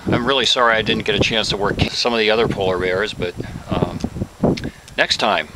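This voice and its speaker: A man's voice: on the low side, steady tone, a little fast. A man hosting a camping vlog, chatting with enthusiasm outdoors at a desert campfire. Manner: enthusiastic, laughs easily. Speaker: vlog host and ham radio operator. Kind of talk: casual outdoor chat. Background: loud wind and outdoor noise.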